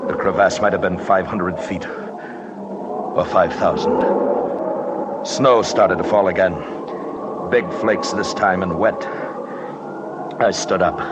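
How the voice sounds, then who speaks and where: An actor playing a stubborn, haunted mountaineer in an old radio drama, speaking gravely and deliberately in clipped phrases. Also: male voice, sits low. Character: stubborn, haunted mountaineer narrating his expedition, tough man. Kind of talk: 1940s-50s radio drama narration. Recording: noisy old radio recording.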